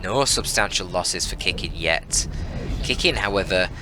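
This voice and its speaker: A man's voice: deep voice, normal speed, over music. A man giving analytical, informal live game commentary in a casual, mildly sweary, exclamatory way. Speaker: esports caster, channel host.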